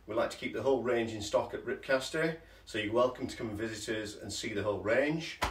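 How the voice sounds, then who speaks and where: A man's voice: mid-range voice, brisk. Chatty man in a roomy showroom. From England. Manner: chatty, hesitant with fillers and restarts; moving about while lifting and unpacking. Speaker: hi-fi shop owner. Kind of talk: casual product unboxing demo. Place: large carpeted listening showroom indoors. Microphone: camera mic from across the room. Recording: roomy showroom sound from far off with music.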